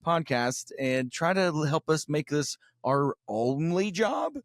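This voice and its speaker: Man talking in halting, rambling bursts. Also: on the high side, expressive, dry recording, studio quality. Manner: rambling, halting with repeated words, swears casually. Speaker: podcast guest and co-host of his own show. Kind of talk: casual conversation.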